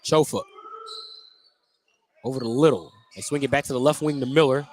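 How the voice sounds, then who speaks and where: A man's voice: medium pitch, fairly even intonation, moderate pace, a little mellow, a little hiss or hum. A man with an American accent doing live radio play-by-play commentary of a basketball game in clipped phrases. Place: indoor basketball gym.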